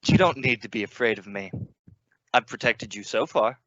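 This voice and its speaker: An actor playing a middle-aged father, genial on the surface and shrewdly probing, measured in an old-time radio suspense drama. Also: mid-range voice, fairly bright tone, no echo, natural voice. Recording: dull, lo-fi audio.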